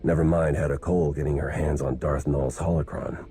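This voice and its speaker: A man's voice: rumbling low, fairly even intonation, a little fast, noisy background. A man voicing a wry, plain-spoken agent in a game, talking informally. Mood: wry, intrigued. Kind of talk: video game cutscene acting.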